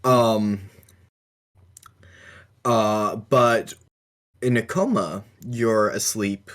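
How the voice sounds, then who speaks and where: A man with a lowish voice co-hosts a casual discussion. He speaks slowly and haltingly, thinking his way through each explanation. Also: fairly even intonation, a bit bright. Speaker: podcast co-host.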